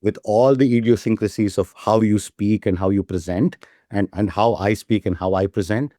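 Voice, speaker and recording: A man's voice: fairly low pitch, fairly even intonation, moderate pace, mellow, dry recording, clean studio sound. A man talking as a startup CEO guest in a casual interview, keen and eager, often tagging his sentences with "right?". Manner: enthusiastic, repeats "right?" as a tag.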